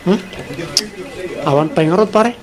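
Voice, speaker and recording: A man's voice: high-pitched, unhurried, crowd noise. A man with a Filipino accent playing an eager, affectionate young husband and office worker in a radio drama. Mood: puzzled, amused.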